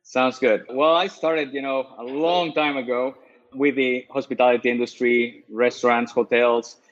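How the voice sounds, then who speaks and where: A man's voice: medium pitch, fairly even intonation, normal speed. A man in his forties speaking as a guest in a casual interview, plain and to the point. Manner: brief, matter-of-fact. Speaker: guest, spirits educator. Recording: dull lo-fi audio.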